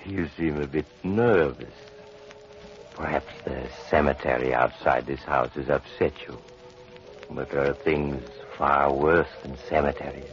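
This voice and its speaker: A creepy horror-show host in the style of old-time radio. The voice sits extremely low and unhurried. It comes through a dull vintage broadcast, with music playing clearly beneath it. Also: male voice, fairly even intonation. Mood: ominous. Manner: slow, menacing storytelling. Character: sinister male host of a horror tale. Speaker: narrator. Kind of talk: 1940s radio acting.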